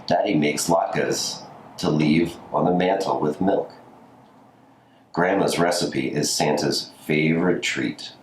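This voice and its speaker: A man with a notably deep voice reads a storybook aloud. The recording is roomy and echoey, with faint noise behind him. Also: takes it slowly. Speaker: community story reader. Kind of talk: scripted storybook reading.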